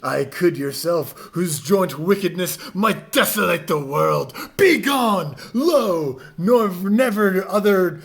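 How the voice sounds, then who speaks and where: A man giving a mock-dramatic reading, with overwrought theatrical delivery that swings between hushed and loud. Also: sits high, animated, slow pace, creaky, few pauses.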